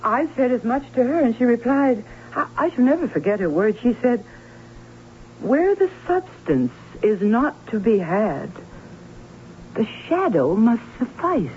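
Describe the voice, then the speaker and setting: An actress playing a distraught Baron's sister in a radio drama, telling of a haunting in earnest, formal, dramatic tones. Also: female voice, fairly high pitch, sing-song, unhurried. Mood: insistent. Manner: earnest, dramatic, formal old-fashioned storytelling. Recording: tinny narrow-band old radio with hiss and hum.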